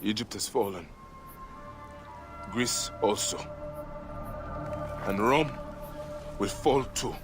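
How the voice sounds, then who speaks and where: A man's voice: lowish voice, takes it slowly, long pauses. An actor playing a stern Egyptian warrior father, grave and intense, in a video game cutscene. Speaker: game character. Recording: game audio under loud music.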